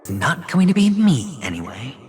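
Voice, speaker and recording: An actor playing a guarded, weary young gambler in a video game, speaking in a measured, dry, hesitant way. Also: male voice, mid-range voice, sing-song, a little mellow, slight background noise, lightly acted. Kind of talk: dramatic video game acting.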